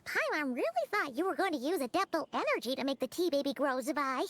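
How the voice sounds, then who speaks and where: A woman voicing a small, excitable childlike fairy companion in a video game, squeaky and given to exclaiming. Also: quick pace, no echo. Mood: puzzled, skeptical. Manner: exclaims, speaks of self in third person. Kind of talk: video game character acting.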